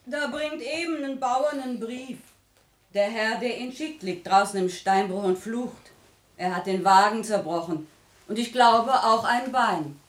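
An actress playing an excited household servant announcing news in a radio comedy, with theatrical delivery. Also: female voice, on the high side, a little slow, close to an everyday voice. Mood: excited, alarmed. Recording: roomy, slightly distant, faint noise.

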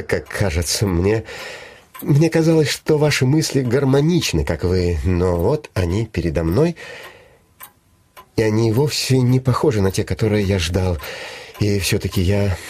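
Expressive, lovestruck young scholar. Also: male voice, fairly low pitch, slight background noise. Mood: tender, earnest. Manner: halting, earnest, theatrical delivery with swooping emphasis. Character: young idealistic scholar, earnest, dreamy and lovestruck. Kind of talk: stage-like radio drama acting.

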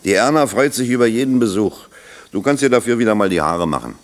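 An actor in a German radio play playing a kindly, grumbling older locksmith who talks plainly and colloquially. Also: male voice, fairly low pitch, animated, fast talker, close to an everyday voice. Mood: gruffly generous. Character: kindly, grumbling, self-deprecating older male factory locksmith.

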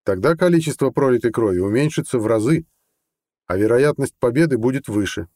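A man voicing a sly, deliberate judge with a lawyerly manner in an audiobook. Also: medium pitch, animated, warm tone, no echo, clean studio sound, voice pushed up. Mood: measured, persuasive. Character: sly, measured older judge, a scheming conspirator. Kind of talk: audiobook character dialogue.

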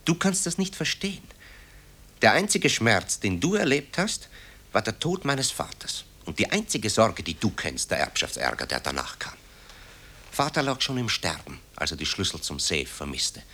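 A man's voice: on the low side, very animated, moderate pace, bright, forceful tone, slightly rough, exaggerated voice. An actor playing a bitter, guilt-ridden composer who accuses his mother in eloquent, theatrical radio play style. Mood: bitter, agitated. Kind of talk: German radio play acting.

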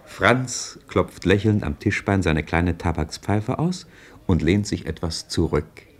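A man's voice: low-pitched, expressive, slow, slightly rough, full character voice. An actor playing a knowing male narrator in a radio play, conversational and wry as he follows his hero. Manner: conversational, wry, present-tense commentary. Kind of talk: scripted radio drama narration.

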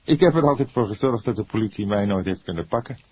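A man's voice: on the low side, expressive, fast, darkish tone, slightly rough, pitched above the performer's own voice. A man voicing an earnest, defensive young man under questioning, speaking emphatically in acted audiobook dialogue. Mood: frank, cocky. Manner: emphatic, flaring into defiance.

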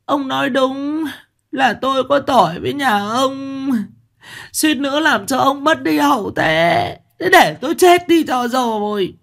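A man voicing a sharp-tongued, sulky older village wife who whines, scolds and wails. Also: extremely high pitch, big pitch swings, unhurried, vocal fry, pitched above the performer's own voice, full character voice. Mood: wailing. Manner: whining, scolding, wailing.

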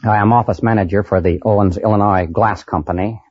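A man's voice: lowish voice, fairly even intonation, fairly quick, slightly rough, dry recording. A plain-spoken, somewhat hesitant man in his forties, a quiz contestant on an old radio broadcast. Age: 40s. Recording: vintage radio broadcast, dull, audience murmur.

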